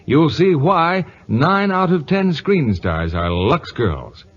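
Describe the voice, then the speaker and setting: Man, husky voice, old-time radio announcer, advertising copy, animated delivery, lo-fi vintage recording. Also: on the high side, takes it slowly.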